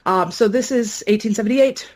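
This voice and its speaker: A woman's voice: medium pitch, normal speed, a little mellow. A woman giving an informal, conversational explanation, telling historical accounts as a podcast guest.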